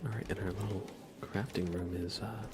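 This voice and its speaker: A soft-spoken man hosting a relaxed ASMR gaming livestream, often dropping into a whisper. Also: sits low, moderate pace, very noisy. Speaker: livestream host.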